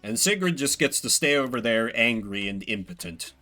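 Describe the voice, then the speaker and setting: Lively, bright-voiced man commentating a game. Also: mid-range voice, moderate pace, faint music behind. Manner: wry, playful, swears casually. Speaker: video game streamer. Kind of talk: casual gameplay commentary.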